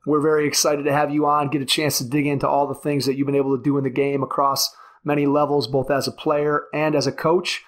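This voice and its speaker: A man hosting a basketball podcast, reading a guest introduction and promos in a crisp announcer delivery, then asking questions. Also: on the high side, level tone, fast, no echo. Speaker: podcast host. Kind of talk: scripted intro and ad reads, then interview questions.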